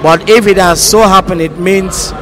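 A middle-aged man with a Nigerian accent explains a story in an interview, speaking deliberately and haltingly into a handheld microphone. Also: high-pitched, steady tone. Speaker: stage actor being interviewed. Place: large bare indoor hall. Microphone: handheld microphone held at the mouth. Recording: handheld mic with clear background noise.

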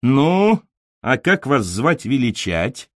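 A man's voice: medium pitch, sing-song, slow, no echo, clean studio sound. A man voicing a jovial, mock-friendly director of a children's institution in an audiobook, coaxing with a hint of menace. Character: jovial, syrupy adult male institution director, lightly menacing.

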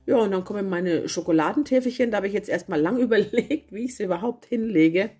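A woman with a cold and a hoarse voice, chatting casually as she narrates a home baking video and correcting herself as she goes. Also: mid-range voice, fast talker. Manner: chatty, self-correcting, homely. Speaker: cooking channel host. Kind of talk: casual recipe voice-over. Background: soft guitar music.

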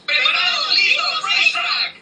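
A man voicing an excited announcer in a radio ad, shouting the offers. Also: extremely high pitch, measured pace, a little hiss or hum, heavily acted. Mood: hyped. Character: hyped male ad announcer. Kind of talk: radio ad acting. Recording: echoey distant ad playback with music.

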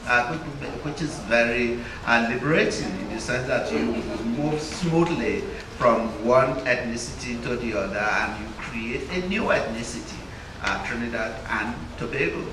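A man interviewing an author onstage in front of a large audience, pausing often with "uh" and "um". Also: mid-range voice. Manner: hesitant, full of "uh" and "um". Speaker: interviewer at a book launch. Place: stage before a large indoor audience. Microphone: handheld mic. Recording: echoey hall, distant from the mic, with noise.

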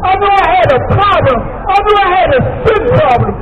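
A man's voice: very high-pitched, lively intonation, fairly quick. A man with an American accent preaching an impassioned, emphatic street sermon, repeating his phrases over and over. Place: outdoors on a busy city plaza by a street. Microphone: handheld microphone through a portable speaker, heard from a distant camera. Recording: distant, dull outdoor recording with street and crowd noise.

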